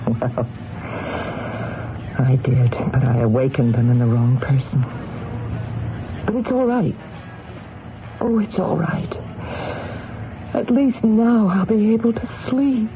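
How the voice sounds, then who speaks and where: A weary, confessing murderer in radio-drama style. The delivery is very slow, halting and wistful, in a fairly high voice. The old recording is thin and noisy. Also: male voice, fairly even intonation, phone-quality sound.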